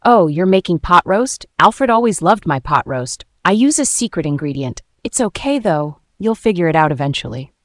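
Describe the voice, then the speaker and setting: A woman's voice: on the low side, very animated, moderate pace, hardly any pauses, no room sound, studio quality. A woman voicing a dramatic, self-absorbed middle-aged mother-in-law with a falsely sweet, boastful manner in a narrated story. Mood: falsely sweet, barbed. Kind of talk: acted quotes in a narrated story.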